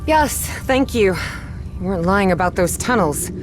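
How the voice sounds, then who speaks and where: A woman's voice: mid-range voice, expressive, normal speed, music in the background, close to an everyday voice. An actress playing an eager, curious young woman explorer in a video game.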